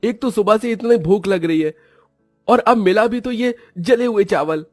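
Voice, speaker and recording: A man's voice: high-pitched, moderate pace, a little mellow, no room sound, studio quality, soft background music, voice pushed up, lightly acted. A man voicing a sulky, scornful schoolboy brother in a cartoon story, whining and accusing. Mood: angry, whining.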